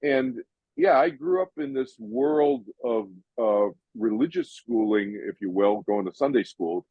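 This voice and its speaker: An older man from Massachusetts hosting an audience Q&A over a video call, chatty and animated, often restarting his sentences. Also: medium pitch, measured pace, gravelly, husky, dry recording, muffled recording. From Massachusetts, USA. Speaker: host answering audience questions.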